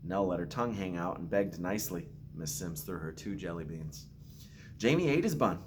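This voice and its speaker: A man with a fairly low voice reads a children's picture book aloud in an expressive storyteller's way. He speaks simply, as a principal would to pupils. There is some noise in the home-video recording. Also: normal speed. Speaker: school principal reading to pupils.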